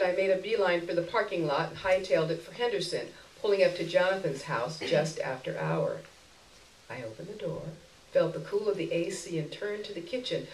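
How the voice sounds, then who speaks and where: Animated woman reading a story aloud. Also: lowish voice. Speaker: story reader. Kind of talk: expressive live short-story reading. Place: roomy indoor stage event. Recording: roomy echo, recorded far from microphone.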